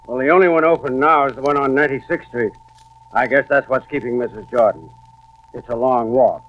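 A man's voice: medium pitch, brisk, lightly acted. An actor playing a polite, apologetic night elevator man in a 1940s radio drama. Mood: polite, concerned. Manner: deferential. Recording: muffled, humming old radio recording.

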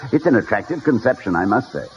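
Wordy, animated college president. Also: male voice, lowish voice, moderate pace. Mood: polite, hesitant. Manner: erudite, hesitant, gently witty. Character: courtly, wordy, good-humored male college president. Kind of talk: 1950s radio comedy acting. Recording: dull, hissy old radio recording.

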